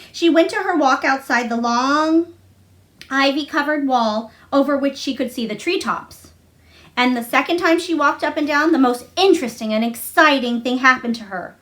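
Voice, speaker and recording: Woman reading a kids book out loud, pretty high voice, story narration. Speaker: storybook reader. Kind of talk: read-aloud children's story narration.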